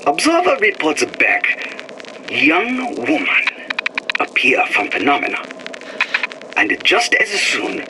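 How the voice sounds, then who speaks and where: Clinical lab observer, halting broken phrasing, slightly high lively pitch, slow, hissy game audio log. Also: male voice, exaggerated voice. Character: methodical male lab observer, halting speech. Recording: audio log with steady background noise.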